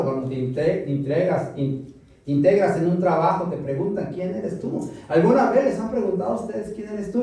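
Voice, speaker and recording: A man's voice: a little high, dark tone, far from the mic. A Mexican man preaching a sermon to a congregation, asking them questions and repeating them back. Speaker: pastor. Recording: roomy echo from afar, music behind.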